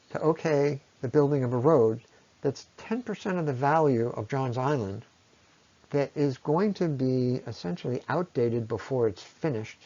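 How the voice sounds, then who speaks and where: A man with a mid-pitched voice delivers a persuasive public talk on a slightly muffled, lo-fi recording. He sounds earnest and deliberate, leaning on key phrases for emphasis as a presenter making a case. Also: darkish tone. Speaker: speaker presenting against a road project.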